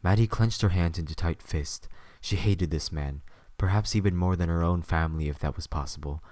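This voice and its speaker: Male narrator, deep pitch, dry close recording, scripted audio drama storytelling. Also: fairly quick, darkish tone, no room sound.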